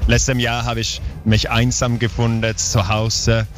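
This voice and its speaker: A Swedish man in his forties, a touring band's singer, chatting in halting second-language German with a Swedish accent and playful jokes at an outdoor festival. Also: medium pitch, completely flat delivery, soft background music. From Sweden. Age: 40s. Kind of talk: casual interview chat.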